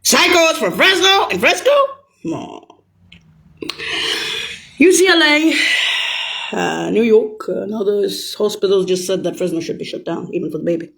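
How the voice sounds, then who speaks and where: A woman talking alone in a rambling, profane rant that jumps between topics and breaks into sudden shouts. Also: mid-range voice, expressive, unhurried, vocal fry, long pauses. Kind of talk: rambling solo monologue.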